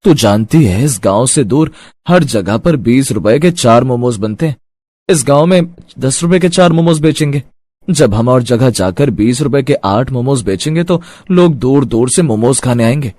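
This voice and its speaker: A man playing a kind, wise middle-aged village father who drives an auto, speaking gently and reassuringly in a cartoon story. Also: mid-range voice, animated, moderate pace, no room sound, lightly acted. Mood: reassuring, determined.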